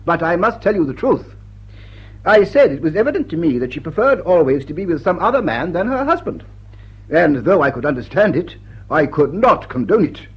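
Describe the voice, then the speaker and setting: Stuffy posh english gent in an old radio comedy, self-righteous, raspy, dull lo-fi sound. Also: male voice, fairly high pitch, very animated, brisk, exaggerated voice. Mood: pompous, stiff. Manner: pompous, hesitant, formal. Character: pompous, stiffly self-righteous upper-class gentleman. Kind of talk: period stage comedy radio acting.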